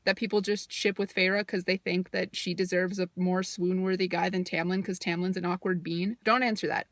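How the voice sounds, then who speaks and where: A woman's voice: mid-range voice, fairly bright tone, no echo. A woman chatting casually to camera as a book vlogger, slangy and opinionated in how she talks. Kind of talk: casual vlog chat to camera.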